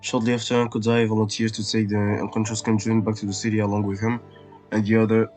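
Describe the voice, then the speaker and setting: Guy doing casual let's play commentary, lowish voice, faint game music behind. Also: monotone, quick pace, darkish tone.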